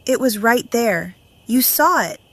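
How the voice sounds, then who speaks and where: A woman playing an anxious, caring wife on a night drive in an audio drama, with an expressive, concerned delivery. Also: fairly high pitch, a little slow. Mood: shaken.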